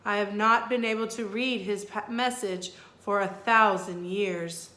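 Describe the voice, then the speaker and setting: A woman's voice: mid-range voice, steady tone, a little slow, pushed and bright, echoey room, a little hiss or hum. A woman voicing a sad, regal ancient ghost queen from a children's storybook, speaking in a stately, formal, dramatic way. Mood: regal, wistful.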